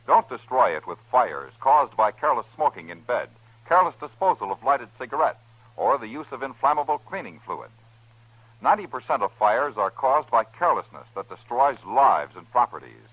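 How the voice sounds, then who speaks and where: Old radio announcer, man, husky, animated, formal, emphatic, dull dry sound. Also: mid-range voice, moderate pace, no room sound. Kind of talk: vintage scripted radio announcing.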